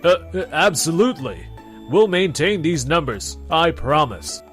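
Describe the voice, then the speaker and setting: A man's voice: fairly high pitch, very animated, a little slow, soft background music. A man playing a defensive, blustering middle manager in an anime-style manga dub. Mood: nervous, placating. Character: defensive, flustered male middle manager, eager to placate.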